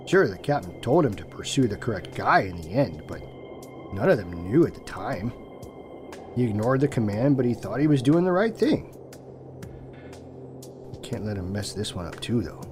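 Man acting as stammering, placating policeman, lively pitch. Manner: stammering, hesitant. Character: nervous, placating male small-town police colleague. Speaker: voice actor. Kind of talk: audio drama acting. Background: music.

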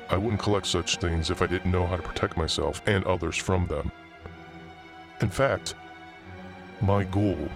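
An actor playing a brooding, theatrical occult collector, narrating a scripted horror tale in a measured way with dramatic pauses. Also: male voice, sits low. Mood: ominous, proud. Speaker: narrator and main character of horror fiction podcast. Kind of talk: scripted first-person horror storytelling.

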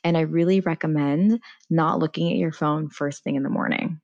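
A woman's voice: sits low, steady tone, normal speed, a little mellow, lo-fi sound. A woman in her thirties, a former TV journalist and founder, talking candidly on a panel and dropping plenty of "like" and "you know". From United States. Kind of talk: casual panel chat.

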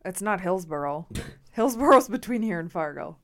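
A woman guesting on her husband's podcast, talking in a calm, matter-of-fact way. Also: fairly low pitch, moderate pace. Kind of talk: casual conversation with her husband.

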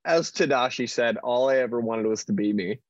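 A man co-hosting a podcast, telling casual stories in a loud, piercing voice with a joking, self-deprecating manner. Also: medium pitch, a little fast, highs cut off. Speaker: podcast co-host. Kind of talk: casual banter and storytelling. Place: online video call.

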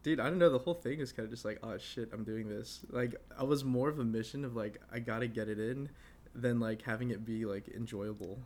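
A man's voice: mid-range voice, fairly quick, a little mellow. Giggly rambling guy in his twenties. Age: 20s. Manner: laughs often, rambling with many fillers. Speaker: podcast co-host. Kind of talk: casual chat.

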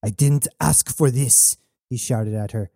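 A man voicing a defiant, grieving young male pirate heir with halting, heated outbursts in an audiobook. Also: medium pitch, lively intonation, mellow, breathy edge, no echo, studio quality. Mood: angry, hurt.

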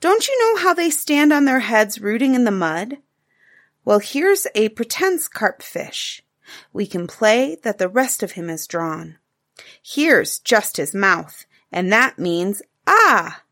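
A woman's voice: fairly high pitch, slow, voice pushed up, full character voice. A woman voicing an eager, chattering little girl from a storybook. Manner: excited, chattering, childlike. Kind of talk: storybook reading.

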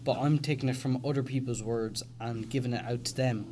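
Young male podcast host, casual and rambling, mid-pitched, faint background hiss. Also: steady tone, a little fast, warm tone. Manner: rambling, many restarts and fillers. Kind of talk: casual chat.

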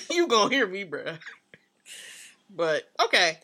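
A woman co-hosting a podcast in casual chat, loud, blunt and emphatic. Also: mid-range voice, sing-song, slow pace. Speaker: podcast co-host.

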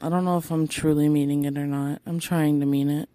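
A woman hosting a rambling solo podcast on her phone, sounding tired, with a raspy, deep voice and a chatty, playfully flirty way of talking, apologizing again and again. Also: level tone, fairly quick, mellow, dry recording. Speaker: podcast host. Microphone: phone held close.

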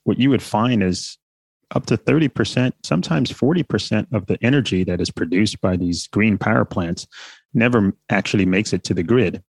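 A man with a fairly low voice answers an interviewer in a measured, explanatory way, now and then pausing on an "uh." The sound is close and very dry, with no room to speak of. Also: dry recording. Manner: measured, explanatory, with light fillers. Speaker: company founder, guest.

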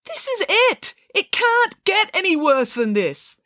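A woman's voice: very high voice, very animated, slow, sharp tone, no echo, studio quality, voice pushed up, full character voice. A woman voicing a furious, anguished teenage schoolboy in dramatic, emotional outbursts for a storybook reading. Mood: distraught.

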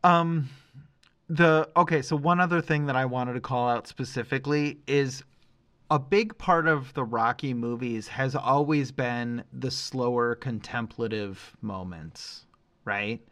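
A man's voice: medium pitch, a little slow, a bit bright. Effusive man chatting on a podcast. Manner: effusive, emphatic. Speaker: podcast co-host. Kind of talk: casual movie and music chat.

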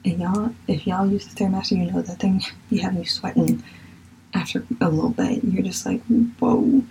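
A woman's voice: mid-range voice, steady tone, normal speed, creaky, mellow, slight background noise, low-quality recording. A young woman from Virginia with a Southern accent, chatting candidly as a podcast host, swearing casually and sometimes dropping to a whisper. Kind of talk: casual spiritual chat.

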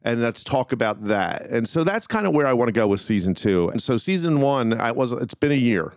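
A man's voice: mid-range voice, sing-song, fairly quick, no room sound, clean studio sound. A man hosting a solo podcast, talking casually and informally in a meandering way. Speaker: podcast host.

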